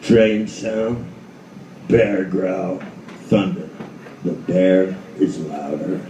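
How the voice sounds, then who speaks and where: Man playing slow, menacing, ravenous grizzly bear. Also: low voice, fairly even intonation, highs cut off, slight background noise. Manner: slow, menacing, drawn-out delivery. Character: huge ravenous male grizzly bear, savage hunter. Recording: echoey live room, distant, loud guitar behind.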